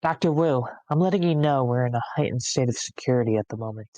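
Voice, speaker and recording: A man's voice: medium pitch, quick pace, warm tone, dry recording, close to an everyday voice. Casting: man to play dutiful guard, late twenties, mid-range voice, very little room sound. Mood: dutiful, alert. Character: earnest twenty-eight-year-old security guard, all business. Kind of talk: screenplay table read.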